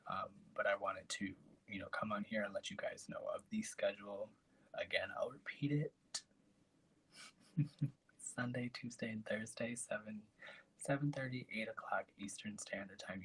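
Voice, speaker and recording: Raspy, hushed man, lowish voice, casual live stream host who rambles softly. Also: poor audio. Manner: soft, breathy and rambling, with trailing asides.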